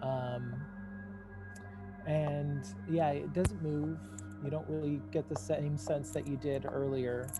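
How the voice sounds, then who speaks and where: A game master improvising tabletop narration in a halting, conversational way, speaking to the players directly. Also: medium pitch, steady tone. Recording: music playing behind the voice. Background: music.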